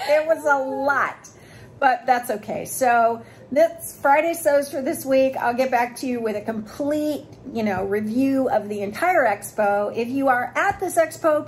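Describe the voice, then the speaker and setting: A woman in her fifties filming a casual vlog on a phone at arm's length, gushing with excitement as she walks through an expo hall and chats in a hotel room. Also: sits high, slight background noise. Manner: enthusiastic, gushing exclamations. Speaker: sewing vlogger.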